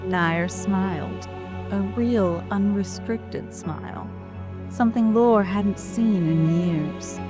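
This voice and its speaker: A woman narrating an audio drama in a slow, deliberate, grave way. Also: medium pitch, over music. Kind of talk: scripted narration.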